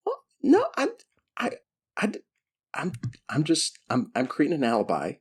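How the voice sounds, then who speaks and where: Animated man playing a tabletop game. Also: a little high, moderate pace, slightly rough. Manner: wry, jokingly defensive. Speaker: player in a role-playing game. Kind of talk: casual tabletop game chat.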